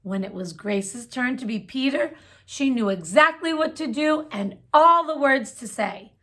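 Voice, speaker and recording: A woman reading a children's picture book aloud, gentle and expressive. Her voice is fairly high. She takes the story slowly, giving each line room.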